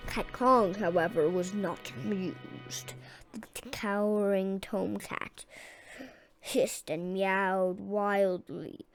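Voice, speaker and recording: A woman's voice: mid-range voice, fairly even intonation, drawn-out words, faint music behind, low-quality recording. A woman reading a children's story aloud with dramatic, emphatic narration. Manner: theatrical, emphatic. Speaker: story reader.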